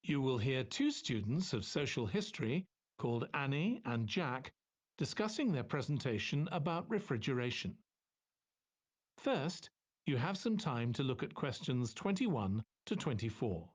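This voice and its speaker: A man reading scripted test instructions as an exam announcer in a formal, measured manner. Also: medium pitch, very animated.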